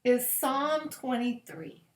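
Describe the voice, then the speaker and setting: Slow-paced woman reading in an echoey room. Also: high-pitched, steady tone, a little hiss or hum. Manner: measured, deliberate phrasing with long pauses. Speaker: pastor reading bedtime stories for children. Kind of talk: gentle read-aloud of scripture picture books.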